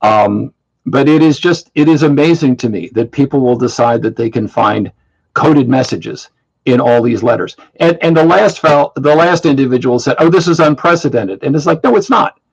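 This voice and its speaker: A man's voice: medium pitch, normal speed, gravelly, breathy edge. A man in his seventies hosting an online question session, talking bluntly and dismissively and often repeating himself. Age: 70s. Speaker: host. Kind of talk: casual monologue to an online audience. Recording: muffled lo-fi audio.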